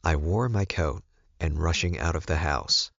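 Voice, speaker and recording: A man's voice: rumbling low, unhurried, creaky, fairly bright tone, dry recording, lo-fi sound. A man reading scripted first-person story narration as an audiobook narrator.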